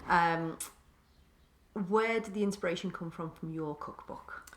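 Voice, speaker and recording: Low-voiced woman podcast host. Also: unhurried, slight background noise. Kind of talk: scripted guest introduction and chat.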